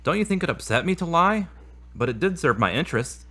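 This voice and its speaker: A man's voice: on the high side, sing-song, a little fast, pushed and bright, full character voice. A man playing an earnest, troubled boyfriend in an audio drama, speaking in solemn, formal phrases. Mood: defensive. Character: earnest troubled boyfriend admitting his lies and anger.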